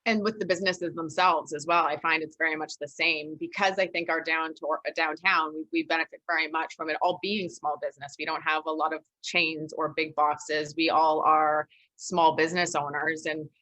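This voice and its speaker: Low, bright female voice, enthusiastic shop owner on a video call, dry audio. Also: steady tone, moderate pace, no room sound, low-quality recording. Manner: enthusiastic, chatty, laughing. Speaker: small business owner. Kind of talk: casual panel talk.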